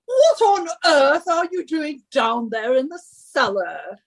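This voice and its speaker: A woman's voice: sits high, very animated, slow, a little hiss or hum, full character voice. A woman playing a bossy, scornful wife who nags and scolds in a suspense radio play. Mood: indignant, scornful. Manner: imperious, scolding, henpecking. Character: bossy, nagging British wife of a professor, scornful.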